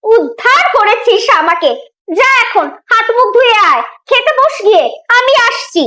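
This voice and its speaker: Cartoon part: scolding, exasperated mother acted by a woman, sharp and very high. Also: lively intonation, moderate pace, heavily acted. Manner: scolding, sarcastic. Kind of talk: children's cartoon dialogue.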